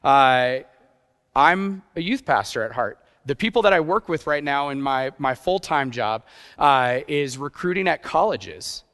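A youth pastor preaching a sermon in a conversational way, with rhetorical questions and playful asides. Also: male voice, mid-range voice, lively intonation, a little slow, fairly bright tone. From grew up partly in Japan. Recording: long hall reverb.

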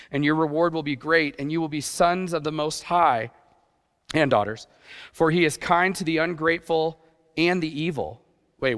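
A youth pastor preaching a sermon in a conversational way, with rhetorical questions and playful asides. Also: male voice, fairly high pitch, fairly bright tone. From grew up partly in Japan. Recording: long hall reverb.

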